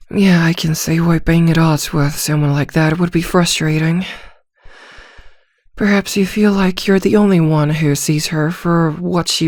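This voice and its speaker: A woman playing a composed, gentle therapist in an ASMR roleplay, speaking softly in a measured, reassuring way with thoughtful pauses. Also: sits low, dry recording, clean studio sound. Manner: measured, reassuring, hesitant pauses with soft hums. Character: composed female therapist, gentle, attentive and discreetly probing. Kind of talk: soft-spoken ASMR roleplay acting.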